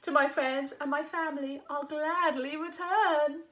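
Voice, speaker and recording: A woman's voice: high voice, steady tone, a little slow, a bit bright. A woman voicing a stubborn, polite old male frog in a storybook, speaking in earnest, formal rhyme. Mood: polite pleading.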